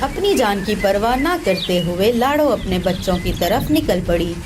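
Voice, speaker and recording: A woman reads scripted narration for a children's story as its storyteller. Clear noise and ambience run behind her voice. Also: medium pitch, very animated, normal speed.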